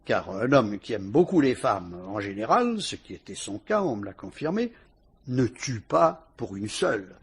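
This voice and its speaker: An actor playing a shrewd police inspector who questions a suspect with dry irony, in a vintage radio drama. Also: male voice, fairly low pitch, big pitch swings, normal speed, breathy edge, exaggerated voice. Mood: probing, ironic. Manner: sly, probing, mocking interrogation.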